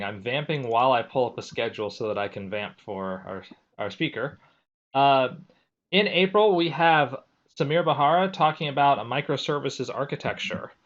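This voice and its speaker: A man's voice: mid-range voice, dull audio. A man hosting a technical webinar on a video call, reading chat questions and filling time with self-deprecating jokes. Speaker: webinar host and moderator. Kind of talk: casual moderating and filler talk.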